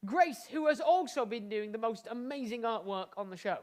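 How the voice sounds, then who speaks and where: British male host for live improv comedy. Very high-pitched, excitable, rambling and effusive. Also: moderate pace, slight background noise. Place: indoors, live online show.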